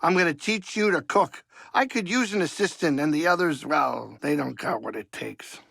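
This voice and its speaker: An actor playing a fretful, chatty alien starship pilot and cook in a video game, a middle-aged grumbler with a kindly streak and a gravelly voice. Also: male voice, a little high, animated, normal speed, bright, forceful tone, no echo, studio quality, full character voice. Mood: gentle, chatty. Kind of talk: video game character acting.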